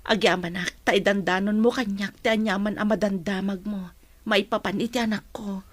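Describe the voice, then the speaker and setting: A woman playing a concerned middle-aged village mother in a radio drama, with a husky voice. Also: mid-range voice, steady tone, a little fast, dry recording, lightly acted. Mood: concerned, inquiring. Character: concerned village mother hearing news of her child. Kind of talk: Ilocano radio drama acting.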